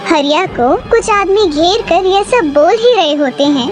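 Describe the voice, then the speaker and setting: A woman's voice: very high voice, lively intonation, unhurried, music in the background. A woman narrating a cartoon story from a script.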